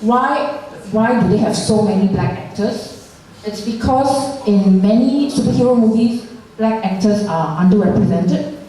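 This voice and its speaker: A woman's voice: mid-range voice, a little slow, a crowd in the background. A woman teacher gives a rambling classroom lecture over slides, full of fillers and tag questions. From Singapore. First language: not Mandarin. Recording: very echoey room, far from the mic.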